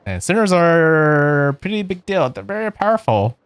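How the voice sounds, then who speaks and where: A man's voice: on the high side, very animated, normal speed, clean tone, dry recording. A man hosting a casual video game let's play, chatting in a rambling way with fillers and small chuckles. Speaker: virtual streamer playing a video game.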